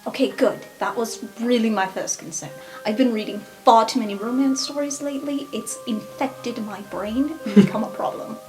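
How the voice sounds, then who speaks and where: A woman's voice: fairly high pitch, moderate pace, hardly any pauses, music in the background, close to an everyday voice, poor audio. A woman playing an earnest, meddling young student in an improvised fantasy roleplay.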